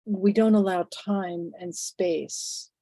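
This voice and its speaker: Congested woman in her sixties, low and mellow. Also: steady tone, dry recording. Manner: thoughtful, halting, many fillers and restarts. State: congested, phlegmy from a head cold. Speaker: interview guest. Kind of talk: reflective conversational interview.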